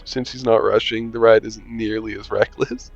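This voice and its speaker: A man reads a visual novel aloud in a casual let's-play, chuckling and slipping in wry asides. His voice sits on the low side. The sound is a bit muffled, and soft game music runs underneath. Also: level tone, normal speed, vocal fry.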